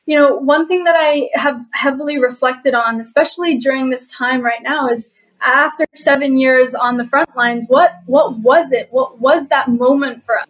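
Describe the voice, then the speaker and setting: A woman's voice: high voice, rough recording. A young woman from Bali, an activist guest answering interview questions in an earnest, reflective way with frequent fillers. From Bali, Indonesia. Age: young adult.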